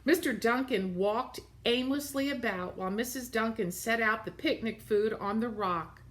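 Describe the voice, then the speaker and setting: A woman's voice: fairly high pitch, moderate pace. A woman reading a picture book aloud to children, expressively, with simple explanatory asides about the pictures.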